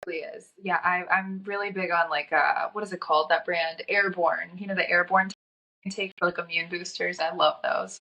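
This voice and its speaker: A young woman from North Carolina, a guest chatting casually, with a slightly scratchy, raspy throat from a cold and a chatty way of talking full of "like". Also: lowish voice, steady tone, normal speed, pushed and bright. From North Carolina, USA. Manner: chatty, frequent "like" filler. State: getting over a cold. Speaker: fashion model guest. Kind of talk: casual chat.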